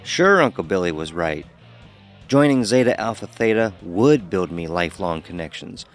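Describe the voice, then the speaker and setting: Young college pledge telling a horror story, wry, lowish voice, faint music under. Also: male voice, expressive, normal speed. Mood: wry, reminiscing. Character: young male college pledge recounting his hazing. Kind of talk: first-person horror story narration.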